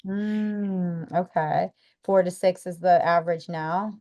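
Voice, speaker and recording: A lower-pitched woman with an American accent hosts the interview, answering her guest with warm, affirming reactions. She frames questions with easy enthusiasm in a steady, conversational way. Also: slow pace. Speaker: podcast host. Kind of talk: interview hosting.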